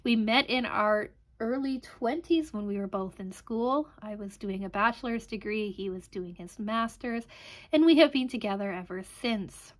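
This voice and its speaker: A woman's voice: medium pitch, normal speed. Chatty Canadian woman vlogging. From Canada (Alberta). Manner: chatty, rambling with frequent ums. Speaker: crafting channel host. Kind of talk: casual vlog chat.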